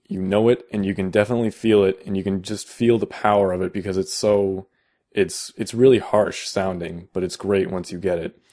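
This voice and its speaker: A young man with a deep voice, explaining casually in a how-to video, halting with many fillers and restarts. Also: mellow. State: out of vocal shape. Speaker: vocal tutorial maker.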